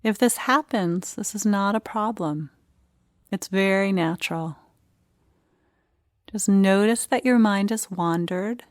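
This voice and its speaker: A woman leading a guided meditation, speaking in short, gentle phrases with unhurried pauses. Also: medium pitch, no echo, studio quality.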